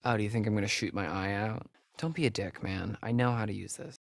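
A man's voice: on the low side, fairly even intonation, fast, gravelly, dry recording. Wry, nervy young American guy, very dry recording. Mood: defiant. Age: young adult. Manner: hesitant, stammering, wry. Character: sarcastic, nervy young male camp counselor. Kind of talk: naturalistic video game acting.

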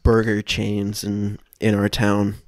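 A man in his twenties doing improvised comedy banter, pausing as he makes things up. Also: on the low side, completely flat delivery, no room sound, clean studio sound. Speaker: improv podcast performer. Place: room at home, indoors.

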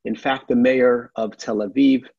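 A middle-aged man, a rabbi, giving a measured, earnest sermon from a sunlit room at home over a laptop webcam. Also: mid-range voice, fairly even intonation, creaky, slightly rough, no echo. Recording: dull, dry webcam audio.

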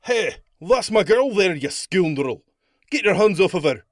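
An actor in an audio drama playing a jealous Old West suitor, indignant and threatening. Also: male voice, sits high, expressive, unhurried, dry recording, studio quality. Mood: outraged.

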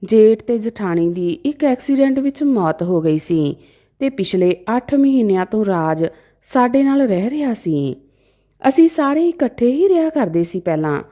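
A woman's voice: on the high side, sing-song, normal speed, mellow. A woman narrating a scripted family story in the first person, in a dramatic storytelling style.

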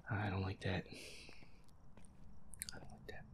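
A gamer giving hushed, breathy horror game commentary in a nervous, hesitant, profane mutter. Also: deep voice, monotone, a little slow, in a whisper. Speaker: gamer playing a ghost-hunting game.

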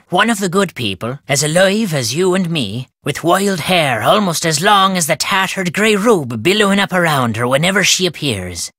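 An actor playing a crotchety, superstitious old Irish caretaker in a video game, telling tales in a folksy, ominous, emphatic way. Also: male voice, on the high side, sharp tone, no echo, clean studio sound, full character voice. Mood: grave, foreboding. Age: older. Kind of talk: theatrical storytelling game dialogue.

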